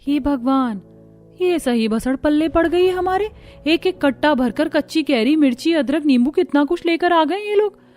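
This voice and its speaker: A young, high-pitched cartoon daughter-in-law, acted by a woman, worn out by her in-laws. She grumbles with sarcasm and exasperation, with music playing underneath. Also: expressive, normal speed, a little mellow. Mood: dismayed. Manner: complaining, muttering sarcastic asides.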